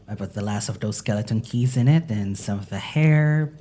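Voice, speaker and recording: A woman's voice: rumbling low, normal speed, mellow, a little hiss or hum. A woman playing an earnest, practical, friendly but anxious traveller in an audio drama, speaking in a conversational, reassuring way. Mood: matter-of-fact. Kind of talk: naturalistic audio drama acting.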